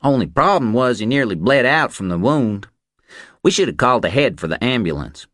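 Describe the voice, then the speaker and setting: Man voicing a high-pitched cocky young ranch hand. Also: big pitch swings, fairly quick, fairly bright tone, no echo, clean studio sound. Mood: gloating.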